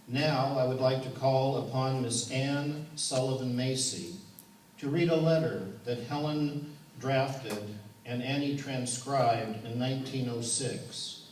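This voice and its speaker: A man's voice: mid-range voice, darkish tone, lightly acted. A man playing a courteous master of ceremonies, formally introducing speakers on stage. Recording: echoey room, distant mic, faint noise.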